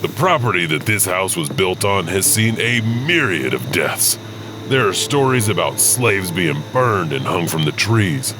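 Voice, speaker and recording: Very deep, animated male horror narrator. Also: noisy background. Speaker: host and narrator. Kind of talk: scripted spooky storytelling narration.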